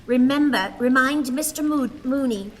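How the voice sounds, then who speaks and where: A woman playing a frail, emotional old Irish wife in a radio play, speaking in a plaintive, halting way. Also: high voice. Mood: deliberate. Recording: reverberant hall, hissy rain-like background noise.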